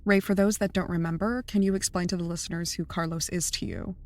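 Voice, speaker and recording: Blunt, lowish-voiced actress as a female podcast producer. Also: steady tone, fast, a little mellow, natural voice. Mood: businesslike. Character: blunt, exasperated female podcast producer, loyal but prodding. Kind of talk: audio drama dialogue.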